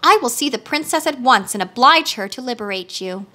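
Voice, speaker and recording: Woman playing a high-voiced, composed young queen. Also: animated, a little fast, sharp tone. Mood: kindly. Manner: composed, courteous, regal. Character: gracious, self-assured young girl queen, quietly commanding.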